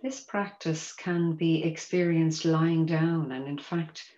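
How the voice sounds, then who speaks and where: A woman teaching mindfulness, guiding a meditation in a gentle, measured, inviting manner. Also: low-pitched, fairly even intonation. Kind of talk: guided meditation instruction. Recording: roomy echo, dull muffled sound.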